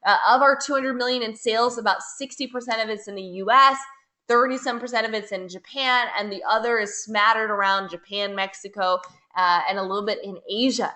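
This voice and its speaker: An American woman leading a network marketing team, giving an upbeat, persuasive webinar pitch with repeated phrases. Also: fairly even intonation, fairly quick, bright, forceful tone. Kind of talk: webinar sales presentation.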